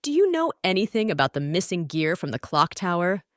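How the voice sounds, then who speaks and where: A woman's voice: fairly low pitch, big pitch swings, fairly quick, fairly bright tone, dry recording, clean studio sound. A woman voicing an earnest, brave male detective car in a children's storybook. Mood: serious.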